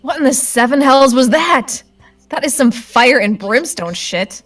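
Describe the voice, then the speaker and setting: A woman giving animated, joking, sweary commentary on a game with friends. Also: high-pitched, a little slow, faint music behind.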